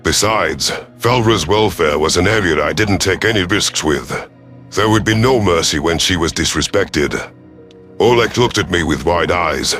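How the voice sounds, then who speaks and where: A man's voice: low voice, unhurried, fairly bright tone, faint music behind. A man narrating a first-person science fiction story in a dramatic audiobook style.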